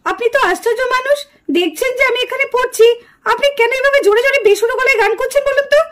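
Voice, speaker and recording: A woman's voice: extremely high pitch, fast, fairly bright tone, voice pushed up. A woman voicing an irritable, scolding young female student doll in a puppet drama. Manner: scolding, complaining.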